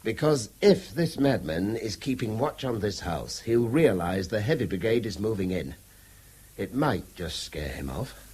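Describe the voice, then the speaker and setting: An actor playing a stern, educated middle-aged English headmaster, formal and lecturing, in a British radio drama. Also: male voice, fairly low pitch, big pitch swings, measured pace, a little mellow, breathy edge, exaggerated voice. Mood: brisk. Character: stern, educated male headmaster figure, commanding and concerned.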